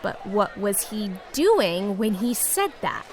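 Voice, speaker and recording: A woman's voice: mid-range voice, big pitch swings, slow pace, darkish tone, a little hiss or hum. A woman playing an exasperated, determined schoolgirl with an emphatic, sarcastic manner in an audio drama. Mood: determined, scheming. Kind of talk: scripted children's audio drama.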